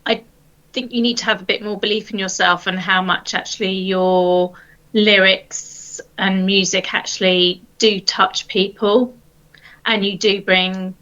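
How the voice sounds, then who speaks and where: Bright-voiced woman interviewing, slightly muffled. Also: mid-range voice. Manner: encouraging. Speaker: podcast interviewer. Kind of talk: conversational interview.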